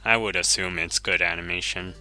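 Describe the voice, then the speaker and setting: Male game streamer, Japanese first language; deep, very bright and forceful tone, relaxed self-correcting chatter over faint game music. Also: a little fast, clean tone. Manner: easygoing, chuckles often, self-correcting. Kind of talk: casual gameplay commentary, reading chat.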